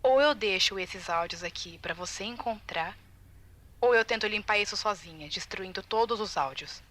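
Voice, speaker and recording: Video game audio log: woman playing anxious, determined female tester, Brazilian, bright tense tone, confiding and urgent delivery. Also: mid-range voice, moderate pace, close to an everyday voice. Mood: uneasy, resolved. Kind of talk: recorded audio-log monologue, video game fan dub.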